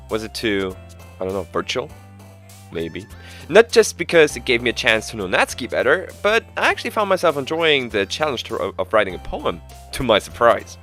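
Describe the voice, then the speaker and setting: A man with a cold, hoarse and stuffy-nosed, reading a visual novel aloud and breaking off into chuckling, self-mocking asides. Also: medium pitch, fairly bright tone. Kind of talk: reading a game's text aloud with joking asides.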